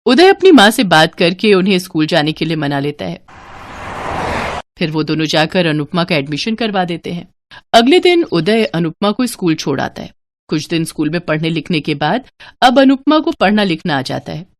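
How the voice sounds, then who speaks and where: A woman's voice: on the low side, sing-song, normal speed. A woman reading scripted moral-story narration as a storyteller.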